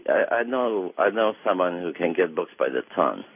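A man's voice: low-pitched, lively intonation, husky. A man with a Romanian accent, an author giving a wry, rambling radio interview over a phone line. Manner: wry, rambling, halting with many fillers. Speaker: author guest.

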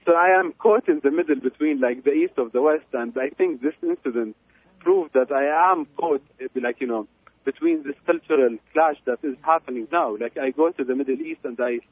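A man with an Iraqi Arabic accent, a guest on a radio show, speaking haltingly with many fillers and restarts. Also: medium pitch, husky. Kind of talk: phone-in interview. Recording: tinny phone line.

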